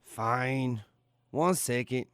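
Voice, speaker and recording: An actor playing a sleazy, cocky slacker of a security guard, talking flippantly in an audio drama. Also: male voice, medium pitch, drawn-out words, a bit bright, smooth, dry recording, studio quality.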